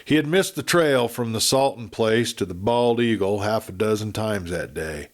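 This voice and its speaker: A man's voice: on the low side, normal speed. Male narrator reading a dramatic Western. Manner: expressive storytelling. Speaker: audiobook narrator.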